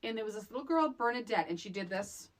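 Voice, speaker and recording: A woman's voice: medium pitch, lively intonation, fast talker. A middle-aged woman co-hosting a casual, comedic film recap, emphatic and incredulous, often repeating phrases for effect. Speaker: podcast co-host.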